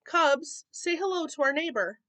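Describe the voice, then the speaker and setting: A woman's voice: high-pitched, expressive, normal speed, fairly bright tone, no echo, pitched above the performer's own voice. A woman voicing a kindly, worried mother bear in a children's storybook, earnest and coaxing. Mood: friendly, directing.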